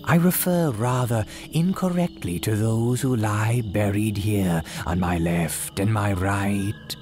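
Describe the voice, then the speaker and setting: Casting: sinister, humble old priest of a haunted cemetery, low and very drawn-out, old-time radio horror style. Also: male voice, a little mellow, faint music behind. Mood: mock-apologetic. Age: older. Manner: archaic, solemn, drawn-out dramatic delivery. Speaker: horror storyteller.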